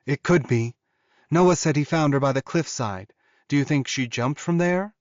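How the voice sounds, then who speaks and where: A man's voice: a little high, moderate pace, no echo, highs cut off, voice pushed up, lightly acted. A man voicing an eager, devoted young female werewolf, earnest and emotive, in audiobook dialogue. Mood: concerned.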